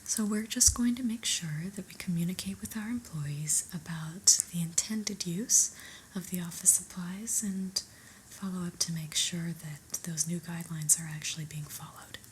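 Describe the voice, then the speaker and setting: A woman playing an unimpressed, politely corporate new manager in a soft, whispered ASMR roleplay, with a measured, dryly disapproving manner. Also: on the low side, steady tone, slight background noise, lightly acted, low-quality recording.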